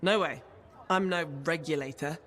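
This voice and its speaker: An actress playing a sardonic, cheeky freelancer troublemaker in a video game, casual in manner. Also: female voice, low-pitched, normal speed, fairly bright tone. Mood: reluctant, cheeky. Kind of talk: game dialogue acting.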